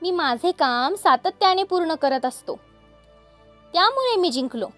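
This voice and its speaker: A steady, humble tortoise from a children's storybook, played by a woman. The voice is high and very unhurried, calm and gently firm as it explains itself. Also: soft background music, heavily acted.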